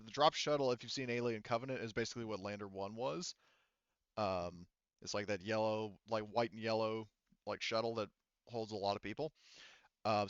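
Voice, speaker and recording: Male game master, smooth clear voice, casual halting improvised narration, dry and slightly muffled recording. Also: medium pitch, a bit bright, dry recording. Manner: halting, rambling, self-correcting, casual.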